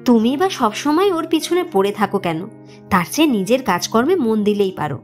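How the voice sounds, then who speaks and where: A woman's voice: medium pitch, lively intonation, slow, faint music behind. A woman voicing an affable husband in an audio story, familiar and teasing in manner. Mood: annoyed. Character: affable husband, teasing, irritable with his nagging mother.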